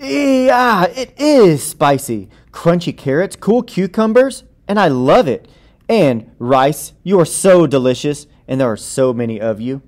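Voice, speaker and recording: A man voicing an excitable, stubborn child who loves pizza in a picture book, exclamatory and dramatic. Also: a little high, measured pace. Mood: thrilled.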